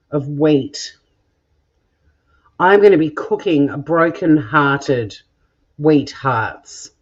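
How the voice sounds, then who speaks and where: A middle-aged Australian woman hosting a cooking stream from her home kitchen, chatting with viewers in a playful way and swearing now and then. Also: sits low. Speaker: cooking vlog host. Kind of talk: casual chat, live cooking stream reading viewer comments. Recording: dull lo-fi home recording.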